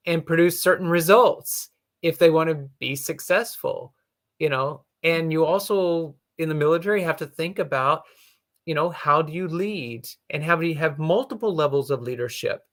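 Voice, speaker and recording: A man explaining leadership lessons in a casual, thoughtful way, often pausing with "you know". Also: fairly high pitch, a bit bright.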